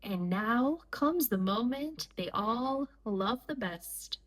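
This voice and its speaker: A woman with a fairly high voice reads a children's picture book aloud. Her pace is extremely slow, with short, deliberate phrases and pauses between them, in the style of storytime for young listeners. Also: expressive.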